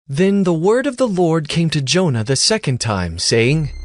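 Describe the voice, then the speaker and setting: Brief: male narrator, slightly high, very lively intonation, scripted scripture reading. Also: unhurried, no room sound, studio quality.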